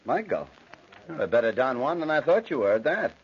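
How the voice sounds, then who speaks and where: A man's voice: mid-range voice, big pitch swings, fairly quick, a little hiss or hum. An actor playing a flippant, brash male friend of a couple in an old-time radio drama. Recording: muffled old radio recording.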